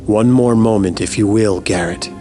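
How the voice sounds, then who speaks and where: A male actor playing a patient, grave member of a secret order in a fantasy game, speaking in a measured, formal, earnest way. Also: fairly low pitch, lightly acted. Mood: calm persuasion. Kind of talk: fantasy game cutscene dialogue. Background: loud music.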